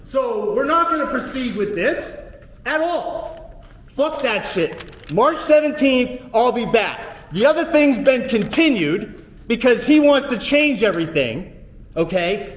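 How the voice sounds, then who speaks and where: High-voiced furious man in an echoing courtroom. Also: expressive, a little slow, a little hiss or hum. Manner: angry, profane, shouting. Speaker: defendant. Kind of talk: courtroom outburst. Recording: echoey courtroom with long reverb.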